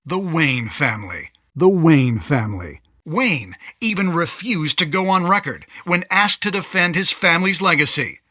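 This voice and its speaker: Higher-voiced dramatic news anchor. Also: male voice, a bit bright, dry recording, studio quality. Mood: grave, accusatory. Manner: crisp, grave, emphatic newsreading. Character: polished, dramatic male television news anchor. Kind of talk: scripted news report in a video game.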